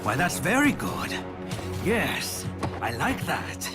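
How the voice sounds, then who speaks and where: Sardonic villain for a video game, extremely unhurried drawl, animated pitch, clear music underneath. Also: male voice, exaggerated voice. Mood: smug, taunting. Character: smug, theatrical male mercenary villain, philosophical rival.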